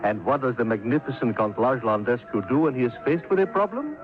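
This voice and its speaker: An actor playing a flamboyant, greedy, self-admiring count, theatrical and effusive, in old-time radio style. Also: male voice, medium pitch, animated, brisk, natural voice. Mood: smug, breezy. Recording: muffled old radio recording, faint music.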